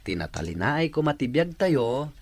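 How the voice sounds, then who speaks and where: A man's voice: mid-range voice, moderate pace, breathy edge. A man playing a jovial, teasing old man in an Ilocano audio drama, exclaiming in an Ilocano accent. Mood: earnest. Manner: animated, exclaiming delivery. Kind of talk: Ilocano radio drama acting.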